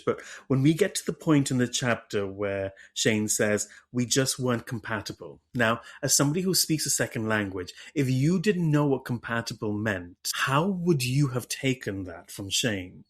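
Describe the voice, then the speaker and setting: A man hosts a casual book club podcast with a middle-pitched voice. He talks with eager, animated energy, circling back on his words and leaning on "like" as his thoughts pile up. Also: normal speed, few pauses. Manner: enthusiastic, animated, with many restarts and "like". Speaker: podcast host.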